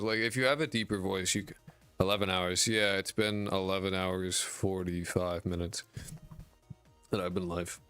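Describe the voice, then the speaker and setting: A man streaming after twelve hours, his raspy voice worn out, who mumbles and rambles casually with lots of fillers and swearing. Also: low-pitched, fairly bright tone. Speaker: indie game dev streamer. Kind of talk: casual live-stream chat reading viewer messages. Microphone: desk mic with a high-pass filter.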